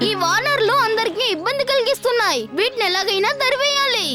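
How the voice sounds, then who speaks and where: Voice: extremely high pitch, lively intonation, fairly quick. An actor voicing a young robot boy superhero, eager and bossy, in a dubbed children's cartoon. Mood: urgent. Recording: loud music behind the voice.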